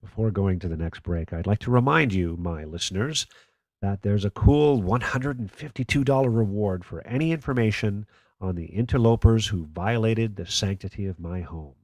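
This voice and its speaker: A man plays a jaded conspiracy call-in host in a radio play. His voice is on the low side, sardonic and dryly sarcastic, recorded close with no room sound. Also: normal speed, darkish tone, dry recording. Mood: weary, aggrieved. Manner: dry, sarcastic. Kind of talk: radio play acting as talk radio.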